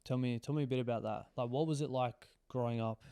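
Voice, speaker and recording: A man's voice: mid-range voice, fairly even intonation, fast, warm tone, smooth. A man hosting a podcast, asking questions in a friendly, conversational way. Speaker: podcast host. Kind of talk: casual interview.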